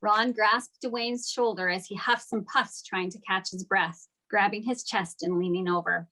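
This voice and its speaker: A woman's voice: mid-range voice, steady tone, measured pace, sharp tone, rough recording. A woman serves as narrator for a script table read. She reads stage directions aloud in a mid-range voice, as scripted narration.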